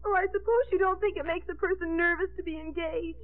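An actress playing a melodramatic, indignant young daughter who makes a show of self-sacrifice, in 1950s radio comedy style. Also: female voice, very high voice, steady tone, fast. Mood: indignant, tearful. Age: young adult. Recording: tinny vintage radio broadcast, faint music.

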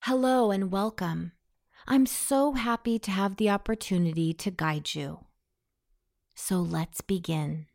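A woman leading a guided self-hypnosis meditation in a soothing, gentle, measured way. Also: mid-range voice, gravelly, dry recording, studio quality.

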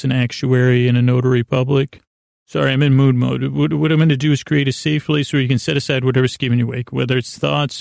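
A man hosting a bedtime podcast in a gravelly voice, meandering through digressions and interrupting himself as he goes. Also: medium pitch, darkish tone, dry recording, clean studio sound. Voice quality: gravelly, creaky. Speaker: podcast host. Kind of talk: rambling bedtime monologue.

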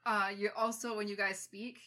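Female co-host, bright tone, playful ribbing delivery. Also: mid-range voice, level tone, moderate pace. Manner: teasing, playful. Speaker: podcast co-host. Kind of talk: casual chat.

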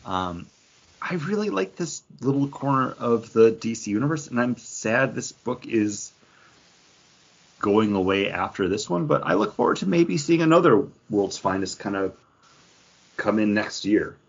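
Man, husky voice, keen fan-style casual chat, podcast co-host, slightly lo-fi sound. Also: medium pitch, very animated, measured pace.

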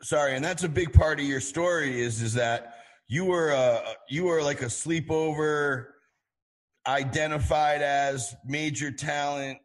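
A man hosting a conversational interview, asking questions haltingly and restarting them several times. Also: on the high side. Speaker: show host, interviewer.

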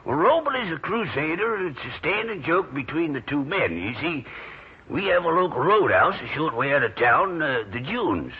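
Chatty small-town American newspaperman, very animated delivery, thin hissy vintage radio drama sound. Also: on the high side, moderate pace, husky, full character voice. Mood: confiding. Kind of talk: 1940s radio drama dialogue. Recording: tinny, hissy old radio recording.

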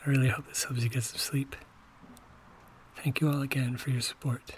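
A man speaking in a soft, gentle, breathy whisper as he introduces a bedtime podcast. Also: medium pitch, little pitch movement, normal speed, a little hiss or hum. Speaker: podcast host introducing an episode. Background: music.

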